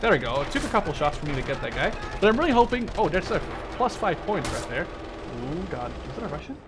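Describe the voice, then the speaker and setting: A man's voice: a little high, sing-song, quick pace. A man in his twenties from the Netherlands giving casual gameplay commentary, rambling and hesitant, often breaking off mid-sentence. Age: 20s. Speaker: gaming video creator. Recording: loud gunfire and artillery noise. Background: video game gunfire, artillery and machine guns.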